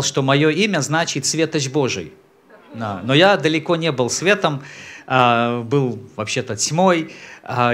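A man in his thirties from Central Asia, a native Tajik speaker, giving a personal testimony to a congregation on a church stage into a handheld microphone, unhurried, with hesitations and throat clearing. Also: medium pitch, fairly bright tone. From Samarkand, Central Asia. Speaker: messianic rabbi, guest speaker.

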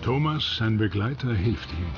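A man reading scripted documentary narration in a lively voice over music. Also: lowish voice, measured pace, lo-fi sound.

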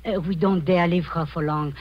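An actress playing an imperious, falsely kind middle-aged aunt in 1940s radio drama, theatrical, with syrupy endearments and sudden sharp commands. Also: female voice, on the low side, fairly even intonation, normal speed, dull audio, natural voice. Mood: falsely soothing. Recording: band-limited noisy 1940s radio recording.

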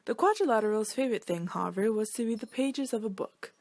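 A woman reading a children's picture book aloud in an expressive storytelling style. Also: fairly high pitch, fast, no echo.

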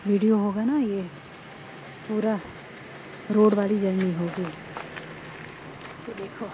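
Brief: woman, 30s, chatty excitable vlogger on a sunny trail, camera at arm's length, muffled sound, heavy wind noise. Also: mid-range voice, slow. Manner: chatty and excitable, pointing out sights. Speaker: travel vlogger. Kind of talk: casual travel vlog narration. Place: outdoors on a sunny volcano hiking trail. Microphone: camera held at arm's length. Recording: dull outdoor phone audio with wind noise.

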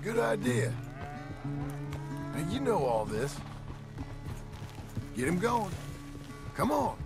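An actor playing a plain-spoken, gruff but earnest American cowboy father in a video game. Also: high voice, sing-song, takes it slowly, over loud music, exaggerated voice. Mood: firm, coaching.

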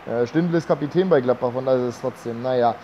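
A man's voice: medium pitch, quick pace, mellow, dull audio, slight background noise. A young man chatting casually to the camera in an upbeat, slangy way, with a slight cold. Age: young adult. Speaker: vlogger. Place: outdoors on residential streets and indoors in a living room. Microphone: phone or camera at arm's length.

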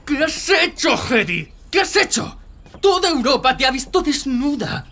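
An actor playing a domineering, jealous arms-dealer husband in an audio drama. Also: male voice, extremely high pitch, sing-song, a little slow, bright, forceful tone, a little hiss or hum, exaggerated voice. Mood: furious. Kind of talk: Spanish audio fiction drama.

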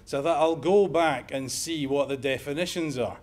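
A middle-aged Scottish man lecturing at a conference in a fairly high voice. He talks in a wry, conversational way and slips jokes into his points. A lapel mic picks him up in a lecture room. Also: normal speed, a little mellow. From Scotland. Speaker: emergency medicine professor giving a lecture. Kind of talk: conference talk.

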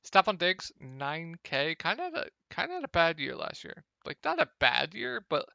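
A young man with a slightly hoarse, gravelly voice, casually talking through fantasy football picks over a screen share, with many ums. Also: fairly high pitch, normal speed, dry recording, muffled recording. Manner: relaxed, lots of ums. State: a little hoarse. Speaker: fantasy sports analyst.

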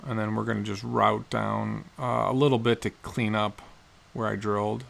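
A man in his forties, a guitar builder, calmly explaining each step of his work in a matter-of-fact how-to narration in his workshop. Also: lowish voice, moderate pace, vocal fry. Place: cluttered workshop indoors.

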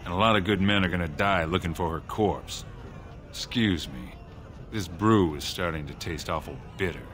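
A man voicing a gruff, weary dwarf warrior in a video game, speaking in a terse, blunt way. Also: sits low, normal speed, fairly bright tone, slight background noise. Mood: grim, weary. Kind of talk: video game dialogue acting.

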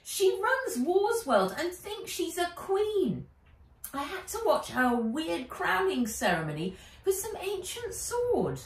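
A woman's voice: extremely high pitch, huge pitch swings, takes it slowly, voice pushed up, exaggerated voice. A British woman voicing an upset, dramatic young schoolgirl in a storybook, exclaiming and pleading. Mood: indignant. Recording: roomy, a little distant from mic.

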